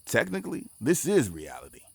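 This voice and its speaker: Audio drama lead: guarded, pragmatic team leader, forties, creaky voice, lively inflection, measured delivery. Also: male voice, mid-range voice, no echo, heavily acted. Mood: reflective. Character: guarded, pragmatic male time-travel mission leader in his forties. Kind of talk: naturalistic audio drama dialogue.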